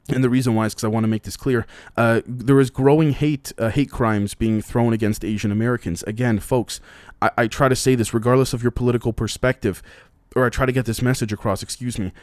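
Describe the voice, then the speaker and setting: A man's voice: mid-range voice, a little mellow, no room sound, clean studio sound. A man hosting a podcast, reading news headlines and giving blunt, profane opinions in a rambling way full of restarts. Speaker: podcast host. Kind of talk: casual news commentary.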